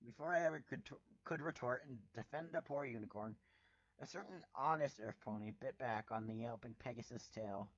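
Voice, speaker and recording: A man reading fan fiction aloud as a dramatic first-person narrator, with a wry, expressive delivery. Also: mid-range voice, a little fast, dry recording, highs cut off.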